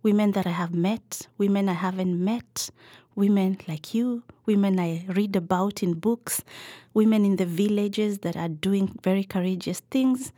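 A middle-aged woman from Kenya, a podcast guest answering in a thoughtful, measured way with some fillers. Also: medium pitch, mellow, no room sound, clean studio sound. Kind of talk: reflective interview answers.

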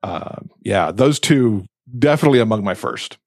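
Low-voiced man gushing about books. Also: lively intonation, normal speed, gravelly, darkish tone, dry recording, clean studio sound. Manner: enthusiastic, gushing. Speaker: podcast co-host. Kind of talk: casual book chat.

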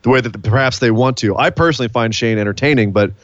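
Male podcast co-host, mid pitch, playful and animated, dry dull home-mic sound. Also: quick pace, dry recording. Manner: animated, playful, hypes announcements. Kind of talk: casual wrestling podcast chat.

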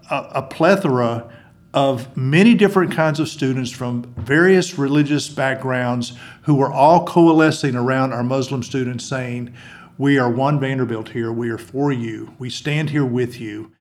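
A man's voice: mid-range voice, measured pace. A man, a university chaplain, recounting events in an interview, with a few restarts. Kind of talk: interview storytelling.

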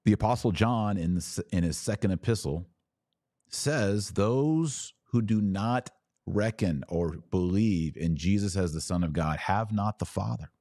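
Man, low-pitched voice, dry close recording, podcast host, conversational but emphatic religious commentary. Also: a little slow, warm tone, no echo. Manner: emphatic, conversational, acts out imagined dialogue. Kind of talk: informal religious commentary, addressing listeners.

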